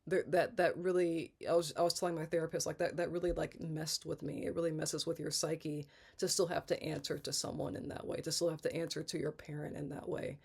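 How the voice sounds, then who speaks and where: A congested woman in her thirties talking candidly in a personal vlog, halting and rambling, often restarting and trailing off. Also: sits low, creaky, a little mellow, slightly rough. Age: 30s. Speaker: vlogger.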